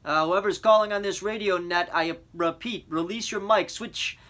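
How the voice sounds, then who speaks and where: A man's voice: high voice, normal speed, pushed and bright, voice pushed up. A man reading an audiobook, voicing an adult male radio operator who speaks in clipped, formal radio procedure. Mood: procedural. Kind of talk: audiobook character voice.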